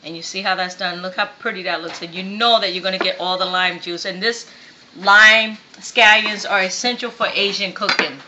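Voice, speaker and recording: A woman's voice: mid-range voice, fairly even intonation, moderate pace, lo-fi sound. A woman born in Vietnam who hosts a home cooking show, demonstrating step by step in a chatty way and often checking in with okay. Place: home kitchen. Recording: echoey kitchen with faint background noise.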